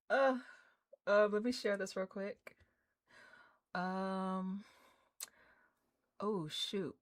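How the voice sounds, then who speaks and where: A woman livestreamer chatting casually with her viewers in an excitable, slangy, informal way. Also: medium pitch. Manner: excitable, hyped, effusive; slangy, informal, drops swearing in. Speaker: livestreamer hosting a game stream.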